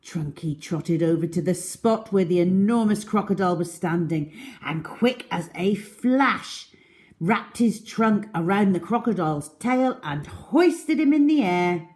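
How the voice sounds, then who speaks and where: A woman telling a children's story in an expressive, deliberate way with dramatic emphasis. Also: fairly low pitch.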